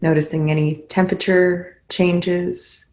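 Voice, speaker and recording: A meditation guide leading a guided meditation in gentle, instructional phrases with pauses between them. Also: mid-range voice, little pitch movement.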